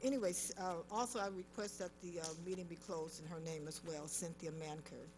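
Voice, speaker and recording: Woman reporter, lowish voice, informal, faint hum. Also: fairly even intonation, brisk, a little mellow. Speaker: local newspaper reporter. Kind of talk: public comment.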